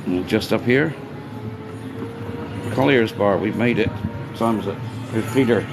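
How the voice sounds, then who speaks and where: A man chatting casually as he vlogs his day as a bar worker, rambling and greeting people as he goes, his voice going hoarse. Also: fairly low pitch, a little slow, music in the background. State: voice getting hoarse. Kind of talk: casual walk-and-talk vlog. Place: outdoors on streets by a seafront. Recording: street noise behind the voice.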